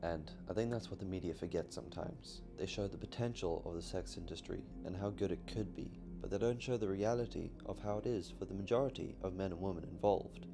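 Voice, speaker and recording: Deep gravelly male narrator over music. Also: fairly quick, few pauses. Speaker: documentary narrator. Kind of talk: scripted narration. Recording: music behind the voice.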